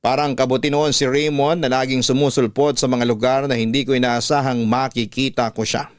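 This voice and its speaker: A man with a Filipino accent reading a personal story as a radio host, in measured, sentimental dramatic narration. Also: medium pitch, no echo, studio quality. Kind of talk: dramatic scripted narration of a letter.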